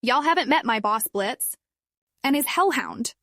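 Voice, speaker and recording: Bright country imp gal, Southern drawl, upbeat, as a woman reads her. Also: high voice, lively intonation, measured pace, no room sound, studio quality, voice pushed up, full character voice. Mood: cheerful. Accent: rural Southern US.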